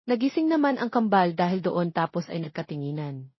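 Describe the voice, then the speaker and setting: A woman's voice: lowish voice, very animated, fairly quick, breathy edge, dry recording, highs cut off. A woman reading scripted story narration as the narrator of an audio romance.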